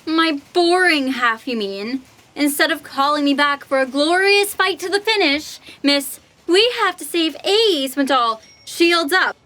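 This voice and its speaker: A woman's voice: high voice, lively intonation, unhurried, pushed and bright, full character voice. A woman playing a vicious, bored bird of destruction who craves battle, in a sci-fi audio drama. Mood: sardonic, grudging. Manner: sardonic, bloodthirsty.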